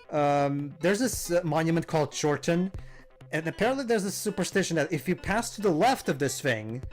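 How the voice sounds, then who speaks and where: Chatty man explaining over game music. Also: on the high side. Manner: chatty, explains at length with many fillers and restarts. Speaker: let's play host. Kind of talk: casual gameplay commentary.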